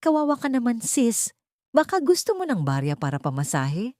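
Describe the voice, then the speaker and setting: A woman's voice: a little high, huge pitch swings, moderate pace, warm tone, no room sound, studio quality, voice pushed up. A woman with a Filipino accent voicing a smug mistress with mock-pitying, sweetly cruel taunts in an audio story. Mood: gleeful mockery.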